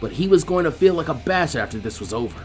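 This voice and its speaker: A man reads an action story as an expressive narrator. His voice is on the high side and very lively in its rise and fall, with faint music underneath. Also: quick pace. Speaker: fan fiction audiobook narrator. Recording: music behind the voice.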